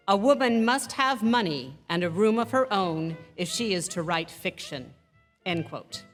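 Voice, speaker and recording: A woman presenting a stage tribute, reading a scripted introduction in a formal, measured, literary way. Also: medium pitch. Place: on stage in a theatre. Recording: echoey theatre hall, music.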